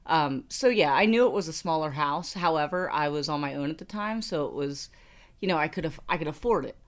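A woman's voice: low voice, expressive, a little fast. A woman in her thirties with an American accent, co-hosting a podcast in a casual, chatty storytelling way. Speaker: podcast co-host. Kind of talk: casual personal finance podcast chat.

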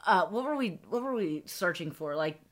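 A woman's voice: on the low side, lively intonation, moderate pace. Bubbly middle-aged woman podcaster. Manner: bubbly, laughs often, chatty. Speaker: podcast co-host. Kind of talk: casual chat.

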